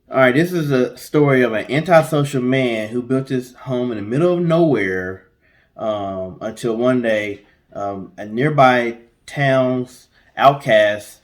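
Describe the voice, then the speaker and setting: Male co-host, average pitch, telling a story in a chatty, colloquial, joking way. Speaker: co-host of a story guessing show. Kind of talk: casual storytelling with chatty asides.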